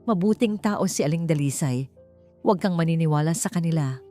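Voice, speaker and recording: Protective Filipino dad read by a female narrator, earnest, troubled, soft-spoken, mellow, audiobook drama. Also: sits low, animated, fairly quick, faint music behind. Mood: worried, soothing. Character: earnest, protective adult father, gentle and troubled.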